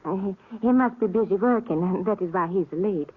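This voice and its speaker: An actress playing an earnest, trusting young immigrant bride with a Swedish accent and broken English in an old radio western. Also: female voice, medium pitch, a little fast, breathy edge, lightly acted. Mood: trusting, cheerful. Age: young adult. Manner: broken English, simple and direct. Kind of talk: 1950s radio western acting. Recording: tinny old-time radio recording.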